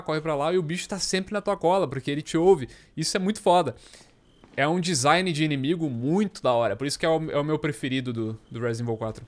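A man, giving casual, slangy, sweary live gameplay commentary as a streamer. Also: fairly high pitch. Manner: slangy, sweary, excitable banter. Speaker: game streamer. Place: small indoor room.

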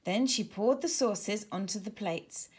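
A woman reading a children's storybook aloud in an expressive, deliberate way. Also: medium pitch, slightly rough. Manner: animated, deliberate. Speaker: author reading own storybook.

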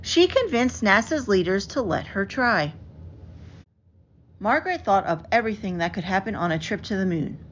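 A woman reads a children's picture book aloud in an expressive, carefully enunciated storytelling manner. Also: mid-range voice. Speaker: storybook reader. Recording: dull lo-fi audio with clear background noise.

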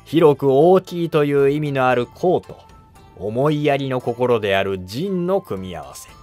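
An actor playing a humble, cautious monk who advises an emperor in formal, deferential court speech in a historical drama. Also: male voice, medium pitch, lively intonation, measured pace, a little mellow, soft background music, lightly acted. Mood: calm, advising. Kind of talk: Japanese historical drama dialogue.

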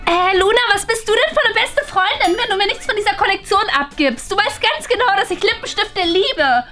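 An extremely high, bright schoolgirl voice. The girl is a vain, whiny fashion diva, dramatic and exaggerated, in children's role-play comedy. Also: expressive, brisk, faint music behind, heavily acted. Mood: whiny outrage.

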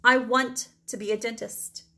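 A woman voicing an excited little girl in a children's storybook, with halting, eager exclamations. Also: fairly high pitch. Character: excited little girl who loves teeth.

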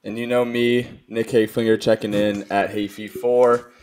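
A man's voice: lowish voice, little pitch movement, measured pace, a little mellow. A man co-hosting a casual podcast, laid-back with plenty of fillers. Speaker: podcast co-host.

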